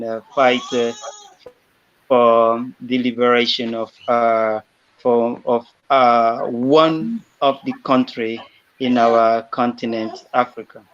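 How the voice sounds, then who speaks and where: Man, from The Gambia, halting speech, monotone, dull lo-fi sound. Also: medium pitch, clear, resonant tone. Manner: halting, many fillers and restarts. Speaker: panel guest, youth leader. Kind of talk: self-introduction on a panel. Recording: slightly dull lo-fi audio.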